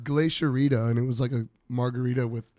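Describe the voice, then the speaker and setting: A young man, a musician guest, chatting loosely with many fillers and pauses. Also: mid-range voice, no room sound, clean studio sound. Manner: relaxed, hesitant, many fillers. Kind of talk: casual interview chat.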